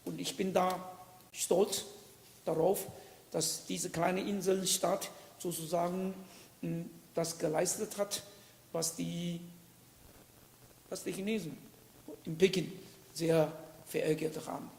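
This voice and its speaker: A man, a diplomat speaking on a panel, who talks haltingly and often restarts and repeats his words. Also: high voice, fairly even intonation, creaky, a little mellow, a little hiss or hum. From Taiwan. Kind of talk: panel discussion. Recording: echoey hall with long reverb.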